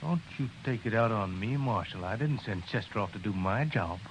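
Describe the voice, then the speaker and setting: Low-voiced, folksy frontier jailer. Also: male voice, animated, normal speed. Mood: frank. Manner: folksy, blunt. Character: plain-spoken male frontier jailer, tough but fair. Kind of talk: 1950s Western radio drama acting. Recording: noisy old radio recording.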